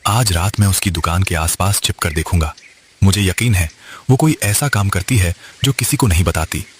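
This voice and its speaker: A man's voice: low voice, moderate pace, slight background noise, lightly acted. A man playing a jealous, scheming male kite bird shopkeeper in a children's cartoon story. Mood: resentful, plotting. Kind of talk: animated children's moral story dialogue.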